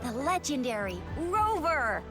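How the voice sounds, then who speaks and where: A woman's voice: high-pitched, sing-song, a little slow, over music, exaggerated voice. A woman playing a spirited, playful young game heroine with a theatrical, cheeky manner. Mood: excited, showy. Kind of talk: video game voice acting.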